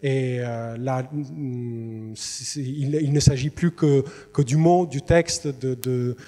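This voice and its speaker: A man's voice: mid-range voice, fairly even intonation, slow pace, warm tone. Man, hesitant, in a reverberant hall. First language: Italian. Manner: hesitant, many fillers and restarts. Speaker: literary translator. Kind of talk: presentation to students. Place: university lecture hall. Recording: long reverb in a large hall.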